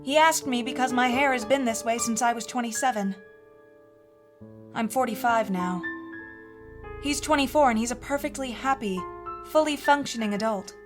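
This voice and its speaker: A woman playing a haunted mother in her forties, telling a first-person horror story in a measured, earnest, confiding way. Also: a little high, lightly acted. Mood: sober, confiding. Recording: music bed under the voice.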